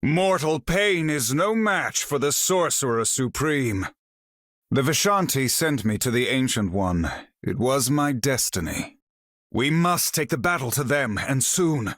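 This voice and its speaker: An actor playing a proud, battle-ready sorcerer hero in a video game, speaking in a grandiose, formal, theatrical manner. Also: male voice, medium pitch, animated, measured pace, fairly bright tone, no room sound, studio quality. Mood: proud, solemn, then commanding, urgent. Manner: grandiose, formal, incantatory delivery. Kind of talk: video game character voice lines.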